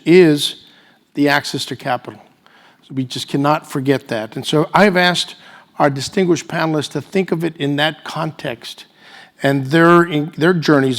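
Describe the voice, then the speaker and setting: A man hosting a panel, speaking semi-formally and off the cuff in a halting way with restarts. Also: medium pitch. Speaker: panel moderator and host.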